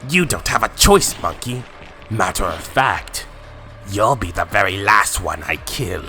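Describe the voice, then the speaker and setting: A man's voice: on the low side, animated, measured pace, pushed and bright, soft background music, exaggerated voice. A man playing a sadistic alien villain in an anime fan drama, taunting and theatrical with menace. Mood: cold, taunting. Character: sadistic alien villain with vengeful grudge, possessing a father's body. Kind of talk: anime fan-fiction voice acting.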